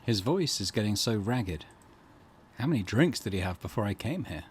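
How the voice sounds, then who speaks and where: A man hosting a let's play, reading game narration aloud with casual asides in a husky voice. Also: lowish voice, very animated, normal speed, a little hiss or hum.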